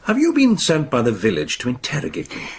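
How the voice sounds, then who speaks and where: An actor playing a tired, guarded male minister with a husky voice in an intimate radio drama. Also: mid-range voice, wildly swooping pitch, a little fast. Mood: weary, guarded.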